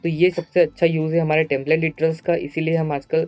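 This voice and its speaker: An Indian man gives step-by-step tutorial narration in a mid-pitched, fairly flat voice. He explains as an instructor would, repeating key phrases. The sound is dry and close, with faint music behind him. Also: quick pace, dry recording. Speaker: programming instructor.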